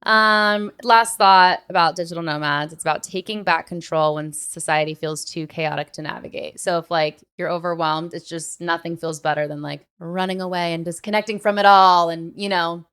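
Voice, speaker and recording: A woman's voice: mid-range voice, fairly bright tone, clear, resonant tone. A woman hosting a podcast in casual, emphatic conversation, leaning often on "like" and "literally.". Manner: emphatic, filler-heavy with frequent "like" and "literally". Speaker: podcast host.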